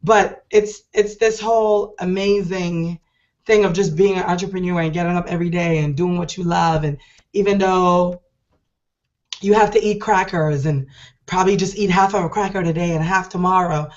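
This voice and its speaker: A middle-aged woman hosting an online show, hoarse and deep-voiced from a cold, talking in a chatty, rambling, enthusiastic way. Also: a little mellow, highs cut off. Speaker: host. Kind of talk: casual online show talk.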